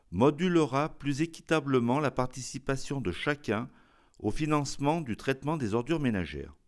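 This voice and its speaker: Man, average pitch, for institutional voice-over. Formal, scripted, read-aloud delivery.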